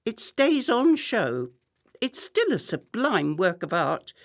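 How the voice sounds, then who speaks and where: A bossy, gushing well-to-do wife in a radio play. She speaks slowly and theatrically, her pitch sweeping up and down, in a close, dry recording. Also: sing-song, no room sound. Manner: theatrical, commanding, effusive. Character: imperious, gushing well-to-do wife and party hostess.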